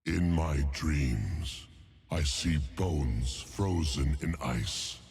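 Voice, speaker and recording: A man voicing an ancient, huge, wrathful bear god in a video game, with a deep gravelly growl and slow, grandiose, menacing proclamations. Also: animated, darkish tone, exaggerated voice. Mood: grim, brooding. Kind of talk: video game character voice lines.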